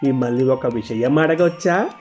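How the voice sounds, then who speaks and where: A man voicing a respectful, deferential attendant to a swami in an animated story. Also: mid-range voice, normal speed, faint music behind, natural voice. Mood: reverent. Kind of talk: animated moral story dialogue.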